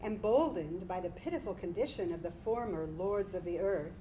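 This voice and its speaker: A woman's voice: on the low side, expressive, normal speed, warm tone, echoey room, a little hiss or hum, poor audio. A woman reading narration in a dramatized literary storytelling performance. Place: live staged reading in a room.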